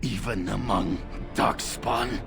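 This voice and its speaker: A man playing a dying male dwarf soldier in a video game, grave and halting in his delivery. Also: fairly low pitch, vocal fry, over music. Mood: grave, desperate.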